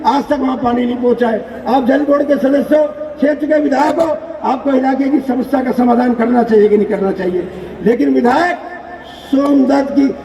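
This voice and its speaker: A man giving a loud, declamatory campaign speech as a politician, using repeated phrases and rhetorical questions. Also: very high voice, creaky, highs cut off. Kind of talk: political rally oratory. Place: large public political gathering. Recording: echoey PA at crowded rally, distant mic.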